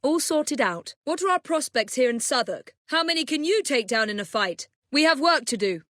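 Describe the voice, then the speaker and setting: Game role: young female English assassin and gang leader of the Victorian era. High, expressive, crisp, wry, in command; dry studio sound. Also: moderate pace, no echo. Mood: cool, controlled. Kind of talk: video game voice lines.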